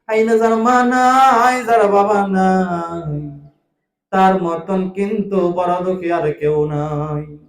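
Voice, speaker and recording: A man with a Bangladeshi accent preaching an emotive Islamic sermon, pleading with listeners in a drawn-out, sing-song delivery. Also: high voice, fairly even intonation. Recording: echoing sound system.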